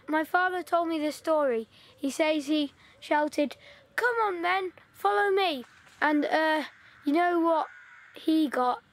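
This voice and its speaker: A woman's voice: high voice, takes it slowly. A woman playing an eager, talkative village boy in wartime, telling stories and coaxing his friend. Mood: eager storytelling. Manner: eager, storytelling, pushy. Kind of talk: audio play acting.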